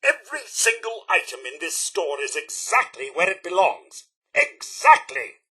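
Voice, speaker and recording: An actor voicing a prim, loyal robot butler for a video game, with a British accent and formal, servile, theatrical diction. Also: male voice, high voice, very animated, measured pace, pushed and bright, husky, full character voice. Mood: indignant, flustered.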